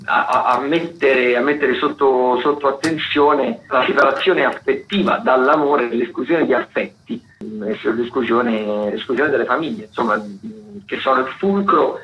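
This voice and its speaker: A man hosting a radio show, reading out cultural listings in a halting, digressive, self-correcting way. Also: mid-range voice. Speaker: radio host. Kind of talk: unscripted radio listings of theatre and dance events. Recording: roomy, dull lo-fi radio sound, faint noise.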